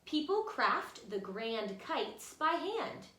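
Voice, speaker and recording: Expressive woman teacher reading aloud, slow and lilting. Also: a little high. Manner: expressive, instructive, addresses young listeners directly. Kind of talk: children's picture book read-aloud with guiding questions. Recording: roomy, echoey room sound.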